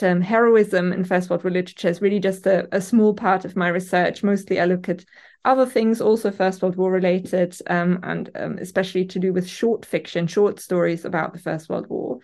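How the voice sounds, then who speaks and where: A German-born woman with a German accent, an academic guest answering interview questions thoughtfully, pausing often with ums and restarting phrases. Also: fairly low pitch, a little mellow. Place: university office. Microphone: remote call from office.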